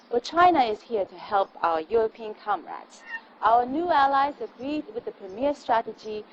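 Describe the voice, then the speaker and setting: A woman's voice: on the high side, highs cut off, a little hiss or hum, close to an everyday voice. An actress playing a proud, polished female Chinese state TV reporter, delivering a formal, declamatory propaganda news report in a video game. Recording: music behind the voice.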